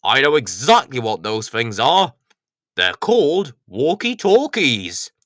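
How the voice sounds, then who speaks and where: A man's voice: medium pitch, big pitch swings, takes it slowly, fairly bright tone, dry recording, clean studio sound. Man putting on a knowing, slightly grumpy storybook character. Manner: explanatory, knowing.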